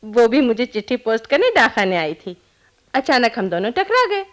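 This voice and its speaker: Young honeymoon bride for radio drama: high, very animated, teasing and fond. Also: female voice, normal speed. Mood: affectionate, lively. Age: young adult. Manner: teasing, giggly, coaxing. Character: playful, affectionate young newlywed bride on honeymoon. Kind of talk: Hindi radio play acting.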